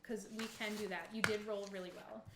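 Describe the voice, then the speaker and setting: A woman's voice: medium pitch, steady tone, a little fast. A woman running a tabletop roleplaying game as game master, narrating casually and encouraging the players. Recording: roomy echo, faint background noise.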